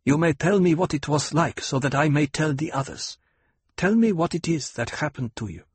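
A man voicing a fierce, protective woman, a desert nursemaid, speaking forcefully and scolding. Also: on the high side, expressive, moderate pace, slightly rough, pitched above the performer's own voice.

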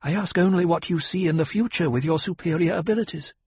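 A man voicing a proud young noblewoman in an audiobook, tense and defensive, with a husky, gravelly tone. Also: fairly high pitch, fast talker, a little mellow, no echo, voice pushed up. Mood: defiant. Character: proud young noblewoman, defiant yet pleading.

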